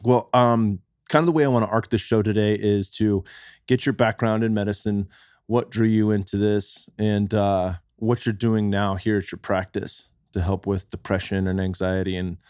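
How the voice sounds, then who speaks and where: Relaxed podcast host, low-voiced man. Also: normal speed, smooth, dry recording, clean studio sound. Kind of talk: conversational podcast interview.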